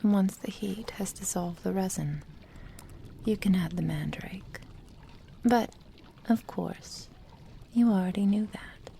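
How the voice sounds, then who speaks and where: A woman plays an ageless witch who dotes possessively on her apprentice in an ASMR roleplay. Her whispery voice is soft and dark, with a heavy creak underneath. She speaks tenderly and intimately, over faint background noise. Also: mid-range voice. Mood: teasing, affectionate. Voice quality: breathy, whispery. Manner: slow, tender, intimate delivery. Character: doting, possessive immortal witch mentoring her beloved apprentice.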